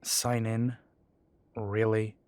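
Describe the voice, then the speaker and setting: A man's voice: fairly low pitch, flat delivery, extremely slow, a little mellow, clean tone, dry recording. A man playing a sardonic half-breed werewolf with a dry, sarcastic way of talking. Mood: wry.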